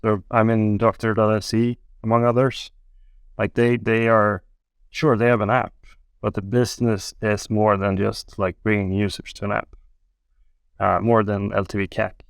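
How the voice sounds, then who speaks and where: A man, telling his story as a podcast guest in a relaxed, wry way. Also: lowish voice, moderate pace, creaky, dry recording. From Sweden. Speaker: guest, angel investor. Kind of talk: casual podcast interview.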